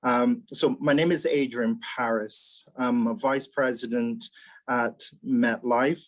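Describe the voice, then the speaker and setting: A man's voice: medium pitch, steady tone, slow pace. A man, speaking as a mentor on a video call panel and often repeating words while he thinks. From Barbados. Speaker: mentor, actuary executive. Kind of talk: casual panel talk.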